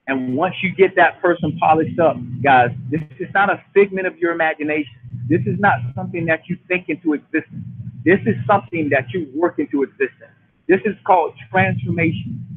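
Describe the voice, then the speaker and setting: A man in his late forties delivers a motivational talk, hammering his points home by repeating them. His voice sits high and sounds husky. It comes through a thin, tinny phone line. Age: 40s. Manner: preachy, emphatic and repetitive. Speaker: show host.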